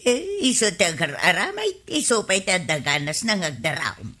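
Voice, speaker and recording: Sharp-tongued village housewife. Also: female voice, lowish voice, big pitch swings, normal speed, full character voice. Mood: indignant. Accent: Ilocano. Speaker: actress in a comedy drama. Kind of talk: broad Ilocano radio comedy drama acting.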